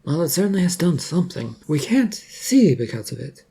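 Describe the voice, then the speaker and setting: A man voicing a soft-spoken, frank superhero woman in an audio story. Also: on the high side, very animated, normal speed, slightly rough, voice pushed up, heavily acted. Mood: matter-of-fact. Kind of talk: audio story character dialogue.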